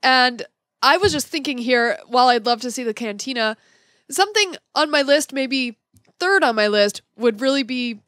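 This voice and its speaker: A woman co-hosting a casual panel chat, excitable and given to gushing exclamations. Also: sits high, normal speed, no echo, studio quality. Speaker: podcast co-host.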